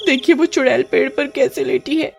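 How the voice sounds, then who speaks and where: A woman voicing a frightened, nagging village wife in an animated horror story, anxious and pleading. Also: high voice, a little fast, vocal fry, faint music behind, voice pushed up.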